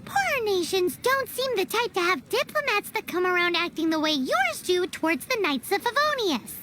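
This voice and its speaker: A woman's voice: extremely high pitch, big pitch swings, moderate pace, noisy background. A woman voicing a small, childlike fairy companion in a video game, blunt and indignant, in exclamatory bursts. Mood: skeptical. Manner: indignant, exclamatory, speaks in third person. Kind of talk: video game character acting. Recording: loud music behind the voice. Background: loud game music.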